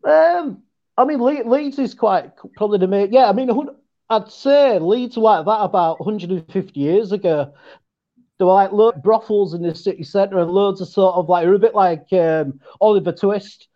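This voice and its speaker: A man with a West Yorkshire accent talks in a high voice, chatty and quick, often stumbling over his words. His audio comes through a dull, lo-fi video call line. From West Yorkshire, England. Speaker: podcast guest. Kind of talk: casual chat.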